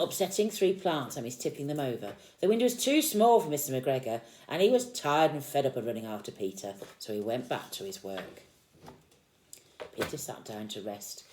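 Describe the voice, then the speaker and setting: A woman reads a picture book aloud to young children like a teacher, expressively, with gently explained asides. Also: very deep voice, reverberant, poor audio. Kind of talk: animated storybook read-aloud with explanatory asides.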